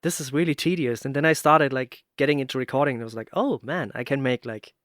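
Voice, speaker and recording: A man's voice: medium pitch, quick pace, a bit bright, dry recording, studio quality. A man hosting a casual interview, telling his own experiences and acting out his reactions. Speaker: podcast host.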